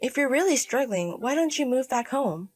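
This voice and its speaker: A woman's voice: sits high, expressive, normal speed, faint music behind. A woman voicing a stern older mother and grandmother in a story drama, scolding and firm. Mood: concerned, questioning. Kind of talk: acted dialogue in a narrated drama story.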